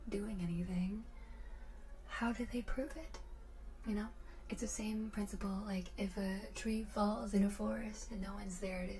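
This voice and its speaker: A woman streaming ASMR, chatting casually with her viewers in a soft voice that often drops to a whisper, close to the microphone. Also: medium pitch, low-quality recording.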